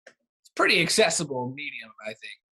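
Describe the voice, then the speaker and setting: A man's voice: mid-range voice, big pitch swings, normal speed, bright, forceful tone, slightly rough, low-quality recording. A man in his thirties being interviewed in a casual, conversational way, with hesitant, rambling phrasing. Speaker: interview guest, cassette exchange organiser.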